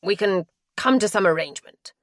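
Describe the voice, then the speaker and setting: A woman's voice: medium pitch, animated, fairly quick, dry recording, clean studio sound, lightly acted. A woman voicing a cunning husband who begs urgently not to be left. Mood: pleading. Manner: urgent, begging. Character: pleading, cunning husband, grown man. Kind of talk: audiobook character voice.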